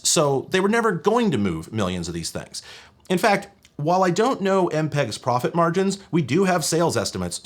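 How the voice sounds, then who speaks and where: Man narrating with lively, swooping intonation. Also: medium pitch. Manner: wry, opinionated, emphatic phrasing. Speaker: video essay host and narrator. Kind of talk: scripted tech history narration.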